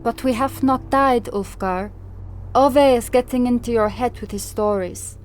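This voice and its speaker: A woman's voice: on the high side, unhurried, faint music behind, voice pushed up, lightly acted. A woman voicing a practical, sceptical, short-tempered Viking warrior woman in an audiobook. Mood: exasperated. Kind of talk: audiobook character acting.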